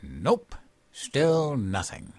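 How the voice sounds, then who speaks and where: An actor playing a dim-witted, childlike, cheerful President-elect in a comedic audio drama, naive and earnest. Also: male voice, mid-range voice, big pitch swings, very slow, darkish tone, no echo, studio quality, full character voice. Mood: naively eager.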